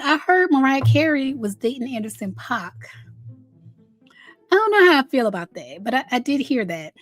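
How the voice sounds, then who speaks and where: A woman hosting a casual celebrity gossip commentary, chatty and candid, with drawn-out emphasis and a slightly raspy voice worn from a recent illness. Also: on the high side. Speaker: host of a celebrity gossip channel.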